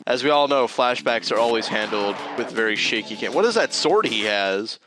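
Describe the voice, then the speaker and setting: Man, let's play host, joking, rambling, lively pitch, game music behind. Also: moderate pace. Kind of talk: casual gaming commentary.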